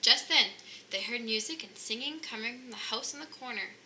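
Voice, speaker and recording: A woman reading a children's storybook aloud in an animated narrating style. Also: fairly high pitch, brisk. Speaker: storybook reader. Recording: faint background noise.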